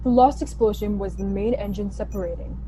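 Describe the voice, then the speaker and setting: Woman as mellow, analytical young colonist. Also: mid-range voice, brisk, slight background noise, lightly acted. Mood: matter-of-fact. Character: young technically minded colonist, matter-of-fact and analytical. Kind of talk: science fiction radio play acting.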